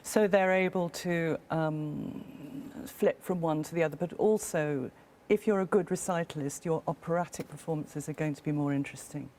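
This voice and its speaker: A woman who sings, interviewed in a concert hall, answering thoughtfully and pausing often. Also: deep voice, a little mellow. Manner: thoughtful, hesitant with many ums. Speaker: guest singer, jury member. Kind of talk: interview chat.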